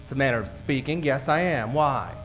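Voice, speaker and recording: An actor playing an effeminate, coy gangster with an arch, teasing manner in an old-time radio comedy. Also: male voice, mid-range voice, animated, fairly quick, fairly bright tone, faint music behind, close to an everyday voice.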